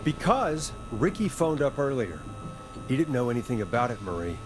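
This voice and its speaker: An actor playing a calm late-night radio host in a game, questioning in a measured, probing way. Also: male voice, mid-range voice, music in the background, natural voice. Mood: pressing, confronting. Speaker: radio talk show host. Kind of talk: scripted game voice acting.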